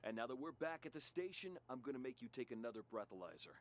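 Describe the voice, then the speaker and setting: Man playing a stern, animated policeman. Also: mid-range voice, quick pace, very noisy, natural voice. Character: stern, bossy by-the-book policeman. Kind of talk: audio drama acting.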